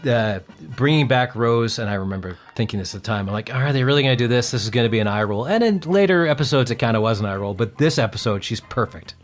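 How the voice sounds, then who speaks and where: A man's voice: mid-range voice, animated, quick pace, hardly any pauses. A man on a podcast panel, chatting casually and giving his opinions.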